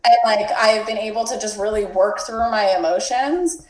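A woman's voice: medium pitch, moderate pace, reverberant, poor audio. A woman in her mid-twenties, from the United States, talking candidly in a casual chat, swearing freely and filling her talk with "like". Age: 20s.

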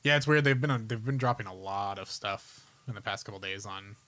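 A man's voice: on the low side, brisk, pushed and bright. A man hosting a podcast in a casual, conversational way. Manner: informal, conversational. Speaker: podcast host.

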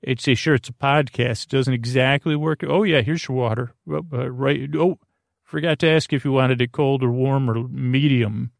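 A man with a gravelly, creaky voice, recorded close and dry. He rambles as a podcast host in a whimsical monologue. His delivery is halting, with repeated words and drifting tangents. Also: mid-range voice, steady tone, no echo.

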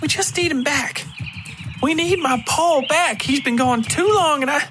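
A man voicing a desperate, weather-worn working woman who pleads urgently and haltingly in an audio story. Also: very high-pitched, noisy background, pitched above the performer's own voice.

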